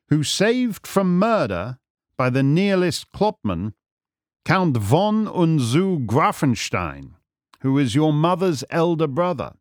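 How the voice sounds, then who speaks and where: A man voicing a suave, self-assured gentleman detective in an audiobook, urbane, measured, wry and formal. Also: mid-range voice, no room sound, clean studio sound, voice pushed up. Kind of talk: audiobook character acting.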